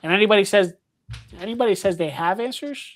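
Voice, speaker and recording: A man's voice: high-pitched, expressive, fast talker. A man from Puerto Rico, a podcast guest, talking casually and hesitantly, with many restarts and ums. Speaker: guest, paranormal investigator. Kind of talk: casual chat.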